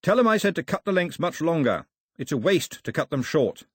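A man voicing a serious, proud young island chief with a measured, blunt way of speaking. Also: medium pitch, big pitch swings, breathy edge, no room sound, studio quality. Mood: indignant.

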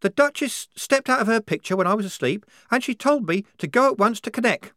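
A man's voice: high voice, expressive, brisk, sharp tone, no room sound, clean studio sound, pitched above the performer's own voice. A man reading an audiobook, voicing a naive, devout nineteen-year-old woman soldier who speaks with fervent earnestness. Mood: earnest.

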